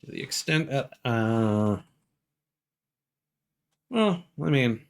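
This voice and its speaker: Streamer thinking out loud while gaming, medium pitch, voice moves around a lot. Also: big pitch swings, vocal fry. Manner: thinking aloud, measured. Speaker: video game streamer. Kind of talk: casual gameplay commentary.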